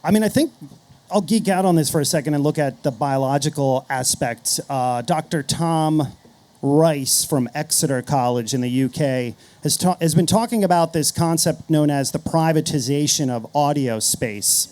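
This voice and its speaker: Male marketer on a panel, middle-aged. Soft dark timbre, slightly high register, measured and earnest. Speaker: marketer and podcast creator, panel guest. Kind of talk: explanatory panel talk.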